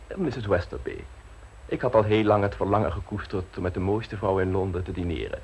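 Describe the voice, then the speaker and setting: An actor playing a smooth, self-assured scheming secretary in a radio play, teasing and unflappable, with a husky voice. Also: male voice, low voice, fairly quick, a little hiss or hum. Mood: suave, scheming. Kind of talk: radio play acting in Dutch.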